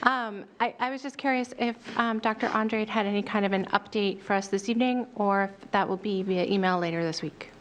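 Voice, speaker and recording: A woman's voice: medium pitch, fairly quick, hardly any pauses. A woman on a school board committee asking questions politely and hesitantly, with apologies. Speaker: committee member. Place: meeting room.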